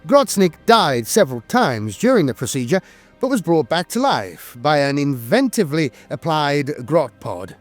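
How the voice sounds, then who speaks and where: A man's voice: fairly high pitch, very animated, moderate pace, soft background music. A man reading scripted narration like a dramatic storyteller, with a wry, theatrical delivery. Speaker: lore narrator.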